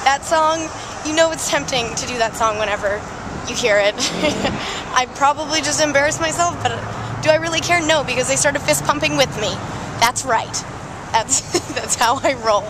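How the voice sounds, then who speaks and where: A young woman chatting casually and loudly in a vlog, excitable and joking. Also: high voice, moderate pace, noisy background. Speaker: vlogger.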